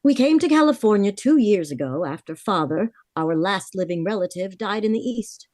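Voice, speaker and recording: A woman's voice: mid-range voice, expressive, normal speed, dark tone, no echo, clean studio sound, natural voice. A woman playing a worried, frank young heiress of twenty-four in a vintage-style radio drama, confiding her story earnestly.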